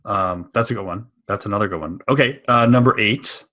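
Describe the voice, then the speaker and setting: A man's voice: on the low side, fairly quick, bright, forceful tone. A man in his forties with a Washington DC area American accent, hosting a casual podcast interview from a home office, agreeable with many short yeses. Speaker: podcast host. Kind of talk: casual podcast interview over video call.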